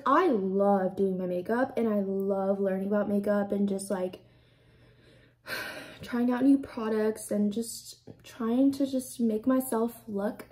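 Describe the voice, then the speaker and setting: A young American woman in her twenties chats through her makeup routine in a small room, the camera close in front of her. Her voice sits a little high but sounds soft and mellow. She rambles casually and leans on "like" as she talks. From United States. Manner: chatty, rambling, heavy use of "like". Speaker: beauty vlogger. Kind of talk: casual get-ready-with-me chat. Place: small indoor room with a plain backdrop.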